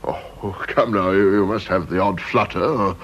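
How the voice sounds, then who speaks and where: An actor playing a probing, urbane British security official in a radio drama, insinuating and pedantic in manner. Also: male voice, low voice, steady tone, normal speed, fairly bright tone. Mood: sceptical, probing. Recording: muffled old radio recording.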